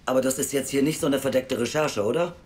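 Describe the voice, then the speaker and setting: An actress playing a blunt, suspicious, sardonic adoption official in a naturalistic audio drama. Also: female voice, a bass voice, fast, a little mellow, slight background noise. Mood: indignant, suspicious.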